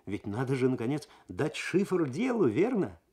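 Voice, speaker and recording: A man voicing a lively, imaginative police detective in a radio story, with an animated manner. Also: mid-range voice, moderate pace, husky, pitched above the performer's own voice. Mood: eager. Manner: animated, eager.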